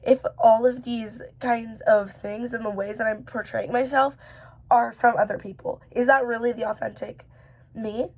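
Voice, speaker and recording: A woman vlogger with a hoarse voice, still recovering from losing it, who talks casually and thinks aloud with lots of fillers and self-questioning. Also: a little high, steady tone, normal speed, a little mellow. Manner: rambling and musing, many fillers and self-questions. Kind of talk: casual reflective talk to camera.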